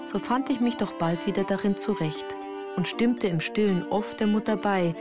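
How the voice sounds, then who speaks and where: An actress playing a sensitive woman recalling her youth, narrating a literary radio drama in a measured, reflective way. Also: mid-range voice, over music, close to an everyday voice.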